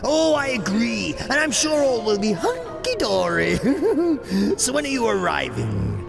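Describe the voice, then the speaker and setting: Creaky, high-pitched giggling villain. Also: male voice, wildly swooping pitch, loud music behind the voice, exaggerated voice. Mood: gleeful scheming. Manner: theatrical, drawn-out, laughing between phrases. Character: giggling, theatrical male villain, scheming crime boss. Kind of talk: fan-made superhero audio drama.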